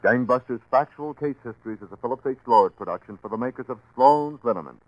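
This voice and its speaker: A man's voice: mid-range voice, fast talker, no room sound. An American man announcing on 1940s radio in a scripted commercial delivery. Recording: dull, dry 1940s radio broadcast.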